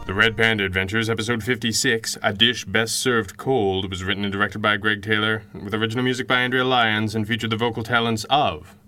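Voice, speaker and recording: A man's voice: on the low side, flat delivery, fairly quick, few pauses, bright, forceful tone, faint music behind. A man giving a scripted credits read as an announcer for an audio drama.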